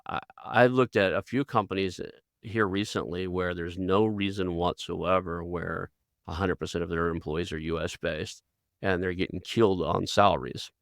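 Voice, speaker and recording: A middle-aged podcast host from Oklahoma with an Oklahoma accent talks in a low, gravelly voice. He rambles in a folksy way, restarting his sentences as he goes. The recording is dry and close, with no room sound. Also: fairly even intonation, moderate pace, no echo. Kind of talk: conversational interview.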